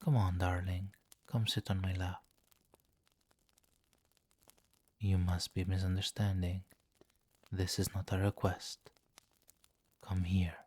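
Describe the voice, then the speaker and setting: A man voicing a seductive, domineering vampire king in an ASMR roleplay, softly commanding and condescending, coaxing in a hypnotic murmur that often nears a whisper. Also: low-pitched, husky, dry recording, exaggerated voice.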